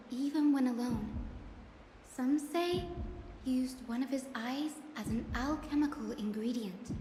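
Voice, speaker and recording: An actress voicing a young woman companion in a video game, recounting events in an articulate, measured storytelling way. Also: a little high, dark tone, a little hiss or hum, lightly acted. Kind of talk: scripted game dialogue, storytelling narration. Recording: echoey reverb with music behind.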